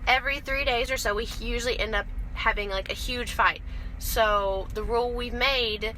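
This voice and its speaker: A woman's voice: fairly high pitch, moderate pace, poor audio. A young woman chatting casually and bluntly for a vlog, bantering with her co-host inside a truck cab. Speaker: team truck driver and video host. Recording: steady vehicle and road noise.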